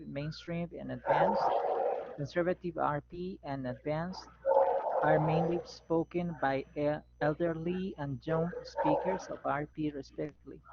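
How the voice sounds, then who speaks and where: Male student, slow and gravelly, unsure delivery, lo-fi call audio with background noise. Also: fairly high pitch. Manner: halting, hesitant, many restarts. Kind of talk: reading aloud in class, then answering.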